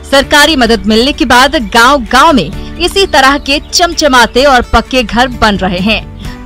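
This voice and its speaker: A woman's voice: high voice, sharp tone. A woman narrating scripted news reports as a broadcast voice-over. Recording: news music behind. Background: background music.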